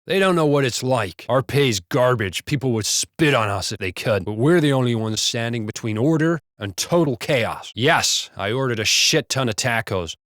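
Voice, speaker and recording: Guy voicing an american cop for a game, casual gossipy griping, swears a lot, medium pitch, dry studio sound. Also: lively intonation, moderate pace, hardly any pauses, a bit bright, no room sound, natural voice. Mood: grim, weary. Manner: casual, blunt, profane wisecracking. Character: foul-mouthed, gossipy male American cop on duty. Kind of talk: video game character banter.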